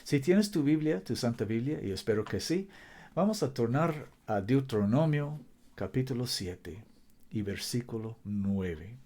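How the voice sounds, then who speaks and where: A man preaching a sermon. He reads scripture slowly and deliberately, stresses key words with emphasis, and sometimes stumbles over his Spanish. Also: mid-range voice, expressive, dark tone. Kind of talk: sermon with Bible reading.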